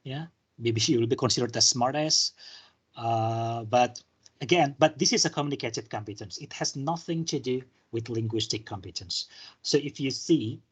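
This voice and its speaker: A man from Indonesia giving a loose, conversational university lecture, often pausing with fillers and restarting his sentences. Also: mid-range voice, slightly rough.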